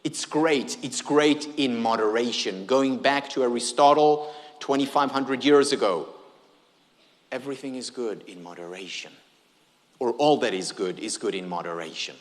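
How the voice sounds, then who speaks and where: A man's voice: medium pitch, moderate pace, big-room sound. A man whose first language is Hebrew gives a conference keynote, engaging the audience with questions and humor. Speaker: keynote speaker.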